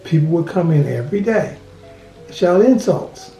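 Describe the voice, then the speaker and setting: A man in his seventies giving a slow, measured personal testimony. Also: on the high side, lively intonation, dark tone. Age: 70s. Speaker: interviewee. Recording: roomy echo with faint music.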